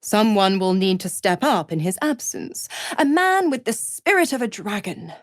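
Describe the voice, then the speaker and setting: An actress voicing a seductive, gloating devil patron in a video game, with a silky, condescending, theatrical manner of cruel teasing. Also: female voice, medium pitch, animated, normal speed, no echo, studio quality, natural voice. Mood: taunting, menacing. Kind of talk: video game character voice acting.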